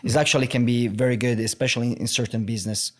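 A young man with an Italian accent talks openly about his own life in an interview. His speech is halting, full of restarts and filler words, and sits at an ordinary pitch for a man. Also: steady tone. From Italy. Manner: halting, many restarts and "like" fillers, open and reflective. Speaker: guest. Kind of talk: candid personal conversation.

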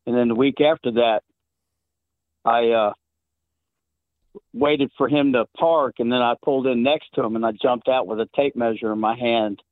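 A man's voice: mid-range voice, steady tone, normal speed, long pauses, dry recording. A middle-aged man with a Southern accent, calling in to a show and telling stories in a chatty way, laughing often. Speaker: caller. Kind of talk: call-in show chat. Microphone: phone. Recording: tinny phone line.

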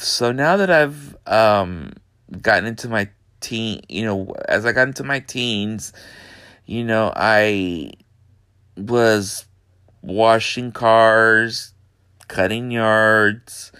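A man from Texas, hosting a podcast from his bedroom in an unhurried, hesitant way with lots of fillers. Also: lowish voice, a bit bright, smooth, dry recording, clean studio sound. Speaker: podcast host. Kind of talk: casual monologue.

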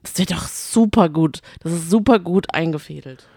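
A woman's voice: on the low side, normal speed, vocal fry, a little mellow, breathy edge, dry recording, clean studio sound. A woman co-hosting a podcast, chatting casually about reality TV with gushing, emphatic opinions. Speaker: podcast co-host. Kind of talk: casual reality-TV recap chat.

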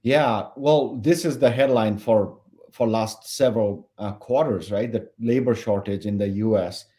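A man in his forties from Bangladesh, a company founder answering interview questions in a relaxed, halting way with many fillers. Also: lowish voice. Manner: hesitant, many fillers and restarts. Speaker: company founder and CEO, podcast guest.

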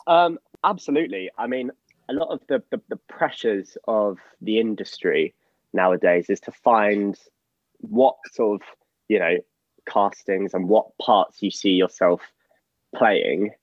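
A young man talks in a hesitant, rambling way, full of filler words. His voice sits a little low. The audio is dull and very dry, as on a lo-fi call. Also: unhurried, no room sound. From London. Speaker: guest, actor. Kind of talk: casual remote interview.

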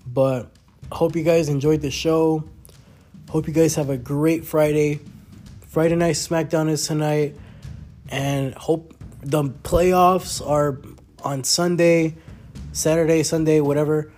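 A man's voice: a little high, fairly even intonation, takes it slowly, long pauses, a little mellow, faint music behind. A young man hosting his own podcast alone, congested and talking in a loose, rambling way with lots of fillers and restarts. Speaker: podcast host. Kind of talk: casual solo podcast talk.

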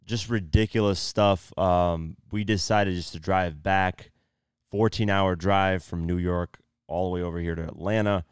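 A tired young man hosting his own podcast, losing his voice and keeping the energy low, talking casually with lots of fillers. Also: fairly even intonation, a little slow, clean tone, no echo, clean studio sound. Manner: laid-back, subdued, lots of fillers like "you know" and "um". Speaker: podcast host. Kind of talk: casual solo podcast talk.

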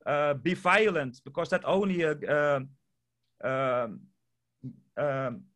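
Guest, male, Dutch accent, non-native English, somewhat high pitch, stumbling, repetitive delivery. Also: expressive, a bit bright. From Netherlands. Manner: halting, many fillers and repeated words. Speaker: guest, permaculture project founder. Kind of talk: casual interview answers.

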